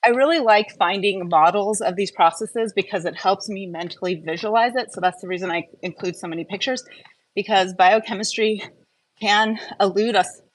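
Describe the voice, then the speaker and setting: A woman's voice: mid-range voice, moderate pace, no room sound, rough recording. A woman hosting a live wellness stream, explaining things informally and step by step in a conversational way. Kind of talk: informal explanatory talk to a live online audience.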